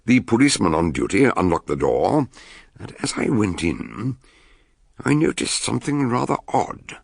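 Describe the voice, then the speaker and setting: A man playing an eccentric, shrewd detective doctor in a radio drama, deliberate and musing as he questions and narrates. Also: on the low side, very animated, vocal fry, slightly rough, full character voice. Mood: inquisitive. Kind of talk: radio drama acting and first-person narration.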